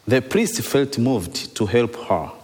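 A man's voice: mid-range voice, lively intonation, a little mellow. Male priest from Tanzania, mid-range voice, sermon in short paced phrases, reverberant hall. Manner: speaks in short phrases, pausing for the interpreter. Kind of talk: sermon delivered in English with consecutive interpretation.

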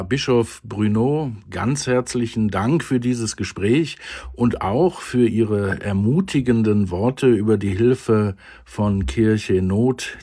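A man hosting a German religious radio program, measured, formal and courteous. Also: fairly low pitch, darkish tone, breathy edge. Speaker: radio host. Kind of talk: radio interview and presenting.

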